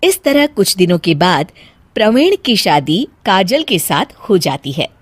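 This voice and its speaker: A woman's voice: medium pitch, very animated, unhurried, husky, dry recording. A woman reading scripted narration for a story as its narrator.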